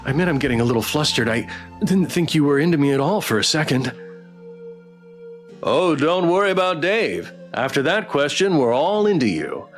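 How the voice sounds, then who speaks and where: Animated, higher-voiced man streaming a game. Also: moderate pace, soft background music. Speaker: streamer playing and voicing a visual novel. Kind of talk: playthrough read-aloud and commentary.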